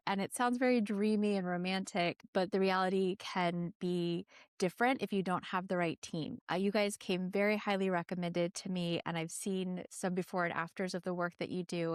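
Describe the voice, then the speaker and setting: A woman's voice: on the low side, clean tone, no echo, studio quality. A woman hosting a podcast, introducing topics and putting questions to guests in conversational English. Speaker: podcast host and interviewer. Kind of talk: friendly podcast interview.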